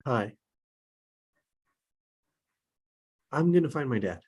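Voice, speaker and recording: A performer playing an earnest, determined but hesitant teenage boy, with a husky, breathy voice. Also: medium pitch, lively intonation, quick pace, a little mellow. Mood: hesitant, apologetic.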